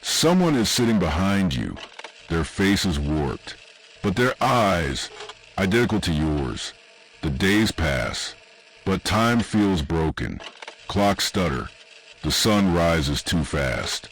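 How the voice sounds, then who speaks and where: A man reading scripted horror narration in an ominous, deliberate way, with short dramatic phrases and pauses. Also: sits low, sing-song, a bit bright, slight background noise. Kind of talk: scripted second-person analog horror narration.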